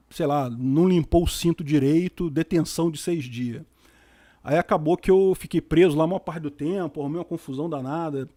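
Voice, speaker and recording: A man's voice: high-pitched, warm tone. A man in his fifties from Brazil with a Rio de Janeiro accent, chatting casually and answering viewer questions on a live stream, rambling with many restarts and slangy asides. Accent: Rio de Janeiro Portuguese. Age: 50s. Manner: rambling with frequent restarts and fillers; informal, uses slang and mild swearing.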